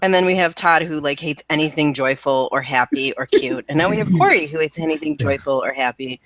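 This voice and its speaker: A woman's voice: deep voice, a little fast, a bit bright, dry recording. A woman chatting casually as a podcast co-host, teasing and opinionated. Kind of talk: casual chat.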